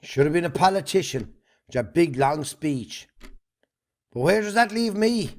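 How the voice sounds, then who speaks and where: A man plays a greedy, bitter brother in an amateur drama. His voice sits high and jumps about in pitch. He is blunt and indignant, always accusing. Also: expressive, unhurried. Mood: scornful. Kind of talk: amateur stage drama acting.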